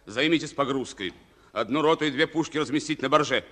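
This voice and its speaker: Casting: male actor as middle-aged wartime captain, forceful and bright, solemn, clipped orders, old radio play in a reverberant hall. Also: medium pitch, fairly quick, lightly acted. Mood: commanding. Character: stern, resolute Red Army captain of about forty. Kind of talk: Soviet wartime radio drama acting.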